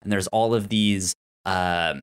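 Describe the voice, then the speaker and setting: A man co-hosting a casual TV recap discussion, halting and stammering over repeated sounds and words. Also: deep voice, steady tone, clear, resonant tone. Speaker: podcast co-host.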